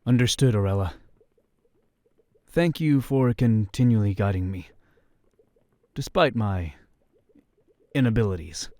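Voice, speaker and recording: A man's voice: fairly low pitch, expressive, measured pace, pauses often, darkish tone, no room sound. A man playing an earnest, self-doubting young crusader who speaks formally and deferentially in a medieval fantasy audio drama. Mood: respectful.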